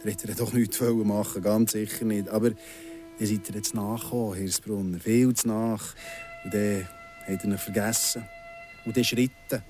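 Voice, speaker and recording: A man acting in a Swiss German radio drama as an embittered, menacingly insistent ex-convict in his thirties, speaking deliberately and sardonically with pointed pauses. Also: on the low side, fairly even intonation, darkish tone, lightly acted. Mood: accusing. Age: middle-aged. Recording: music behind the voice.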